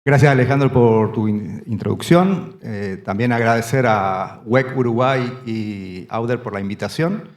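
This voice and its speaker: A man from Argentina giving a standing conference presentation in a hall, halting and often restarting his phrases. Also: mid-range voice. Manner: speaks standing up. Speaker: panel speaker.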